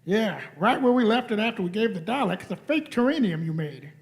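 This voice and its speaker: A man plays an eager young adventurer in a sci-fi radio play. His voice is high for a man, earnest and a bit flustered, tripping over hesitations. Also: expressive, fairly quick, vocal fry. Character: earnest, flustered young male space-travelling companion. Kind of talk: live radio play reading.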